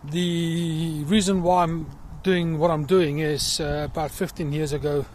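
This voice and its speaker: Middle-aged man, south african accent, earnest and reflective, slightly high voice, faint traffic behind. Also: steady tone, a little mellow. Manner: earnest and reflective, pausing with fillers. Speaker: crowdfunding campaigner. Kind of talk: personal walking vlog. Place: outdoors in a field.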